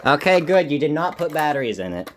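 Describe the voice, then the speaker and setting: Someone hosting a casual unboxing vid, playful showman type announcing stuff, medium pitch. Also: sing-song, a little fast, a bit bright, a little hiss or hum. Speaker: video host. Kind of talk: casual unboxing narration over group chat.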